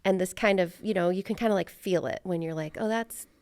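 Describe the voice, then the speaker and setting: A middle-aged American woman with a fry-edged but clean, smooth voice, recorded dry and close. She talks casually and reflectively as a podcast host, wandering through her thoughts and putting herself down a little. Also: lowish voice, fairly quick, a little mellow, no echo. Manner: rambling, self-deprecating.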